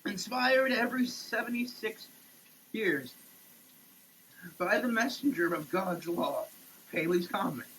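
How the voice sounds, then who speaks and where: A mid-pitched voice reads slowly and theatrically, declaiming in halting pieces and repeating words. The recording is noisy, made in a running shower. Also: expressive, fairly bright tone. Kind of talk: dramatic, theatrical reading of a product label.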